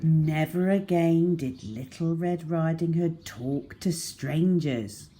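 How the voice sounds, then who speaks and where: A woman telling a children's story in an expressive storybook narration style. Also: deep voice, slow.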